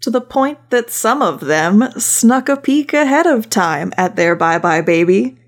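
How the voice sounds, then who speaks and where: A woman's voice: on the high side, expressive, a little slow. A woman hosting a podcast as a voice director, narrating conversationally with wry asides. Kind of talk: casual semi-scripted podcast narration.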